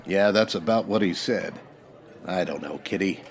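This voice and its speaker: A man playing a steady, weary Old West lawman in a radio-style western, husky, with an American accent and a measured, laconic delivery. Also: low-pitched, some chatter behind. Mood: resigned.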